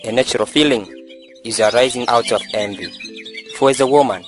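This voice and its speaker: A man's voice: mid-range voice, fairly quick, faint music behind, natural voice. A man playing a pompous, lovestruck young village schoolteacher in an amateur student drama, speaking in a declamatory, preachy way. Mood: preachy, condescending.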